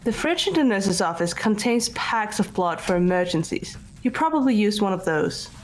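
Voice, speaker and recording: A cool, analytical student from a mystery game, acted by a woman. The voice sits at a middle pitch, measured and matter-of-fact, over background music. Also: sing-song, lightly acted. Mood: composed, analytical. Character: composed, analytical student reasoning coolly through a murder case. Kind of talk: scripted game dialogue read aloud by fans.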